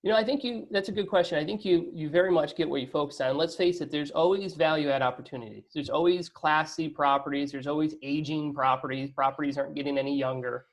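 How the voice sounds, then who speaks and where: A man's voice: fairly high pitch, fast talker, few pauses, poor audio. A man answering as a guest in a business interview, talkative and explanatory, often checking that he is understood. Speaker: interview guest, real estate fund operator.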